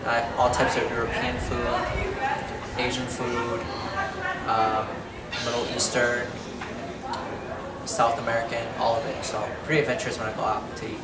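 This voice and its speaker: A grown man whose first language is Cantonese, originally from Hong Kong, answering interview questions in an open, thoughtful way with pauses. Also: medium pitch, level tone. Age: adult. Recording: echoey, far from mic, loud crowd and noise.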